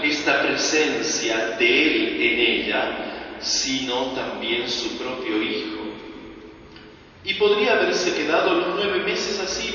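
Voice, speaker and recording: A man with an Argentine Spanish accent delivering a sermon as a preacher, in a deliberate, measured way with emphatic pauses. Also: mid-range voice, sharp tone. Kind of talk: sermon, religious meditation. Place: large reverberant indoor space. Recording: echoey church-like hall, distant mic, dull, faint noise.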